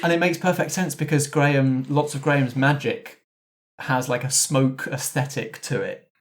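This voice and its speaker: Mid-pitched man leading a game discussion. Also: fairly even intonation, moderate pace. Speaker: game master guiding the group. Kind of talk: casual group discussion of a game.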